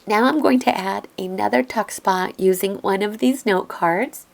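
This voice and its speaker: A woman narrates a crafting voice-over at an unhurried pace, stopping now and then to think aloud. Her voice is raspy and a little hoarse from a lingering cough, with a gravelly edge. She explains each step in a gentle, patient way. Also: mid-range voice. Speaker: crafting tutorial host. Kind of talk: calm instructional voiceover. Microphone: voice-over recorded apart from the video.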